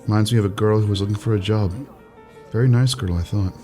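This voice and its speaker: Actor as a wry, needling young shop clerk. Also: male voice, fairly low pitch, fast, over music, natural voice. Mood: wounded, sarcastic. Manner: wry, needling, mock-polite. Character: teasing, proud, persistent male shop clerk. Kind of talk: old-time radio romantic comedy acting.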